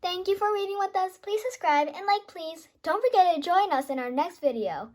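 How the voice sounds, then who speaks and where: Child female reader, high-pitched and animated, emphatic storybook delivery. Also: moderate pace. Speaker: host and reader of a children's read-aloud. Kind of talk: read-aloud storytelling.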